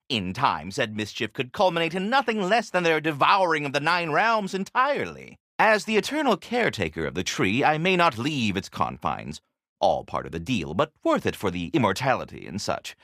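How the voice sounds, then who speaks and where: A man's voice: mid-range voice, fairly bright tone, dry recording, clean studio sound. A man voicing a polite, fussy magical squirrel from a video game, speaking in courtly, wordy, butler-like formality. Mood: explanatory, courtly. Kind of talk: theatrical video game character dialogue.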